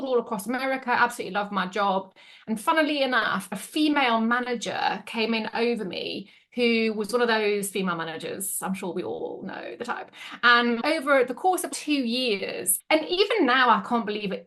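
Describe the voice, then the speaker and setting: A woman's voice: on the high side, fairly bright tone. A woman with a mixed Yorkshire and South African accent, a guest openly telling her story in a podcast interview. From England, grew up in South Africa. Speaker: guest, entrepreneur.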